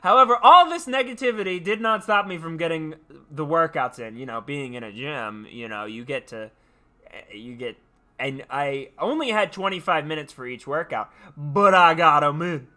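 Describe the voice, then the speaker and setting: A man hosting a livestream, sounding tired yet animated, chatting casually and haltingly as he repeats his phrases. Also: on the high side. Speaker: livestream host. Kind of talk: casual rambling chat to viewers.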